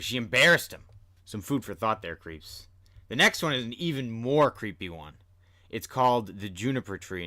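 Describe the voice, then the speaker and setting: A man's voice: lowish voice, bright, forceful tone. A man hosting a spooky story podcast, narrating in a dramatic storyteller's style. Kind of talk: dramatic fairy-tale narration with casual commentary. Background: music.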